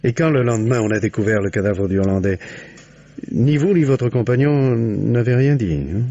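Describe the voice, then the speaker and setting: A man's voice: mid-range voice, animated, normal speed, mellow, lightly acted. A man playing a shrewd, patient police commissioner in a French radio mystery, questioning in a probing, paternal, conversational way with muttered prompts. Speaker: actor in a radio mystery play. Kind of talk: 1950s French radio drama acting. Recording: muffled old radio recording.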